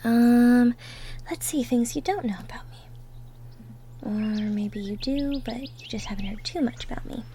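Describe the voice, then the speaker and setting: Girl acting as sweet cottagecore young woman, high voice, gentle n cheery, chatting close up like a roleplay audio. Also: measured pace, breathy edge. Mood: thoughtful. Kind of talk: roleplay audio drama, intimate one-sided conversation.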